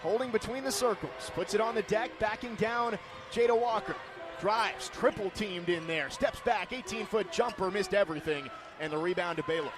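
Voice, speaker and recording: A man's voice: high voice, moderate pace, a crowd in the background. A man calling live sports play-by-play in clipped, vivid phrases. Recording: noisy live game broadcast.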